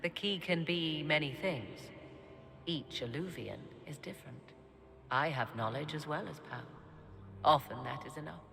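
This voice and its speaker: An actress playing a cryptic, self-assured sorceress in a fantasy video game, speaking deliberately and theatrically in archaic phrasing. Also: female voice, low voice, lively intonation, creaky. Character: cryptic, self-assured sorceress, adult woman with arcane knowledge.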